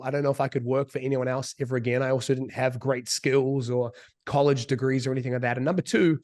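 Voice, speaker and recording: Husky New Zealand man in his thirties. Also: medium pitch. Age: 30s. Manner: speaks slowly and deliberately. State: slightly sick, husky. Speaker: business coach. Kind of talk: casual talk as a guest.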